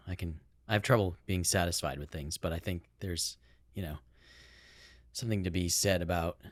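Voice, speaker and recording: A man with a low, deep voice speaks as a podcast co-host. He talks in a casual, conversational way, and the sound is very dry. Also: dry recording.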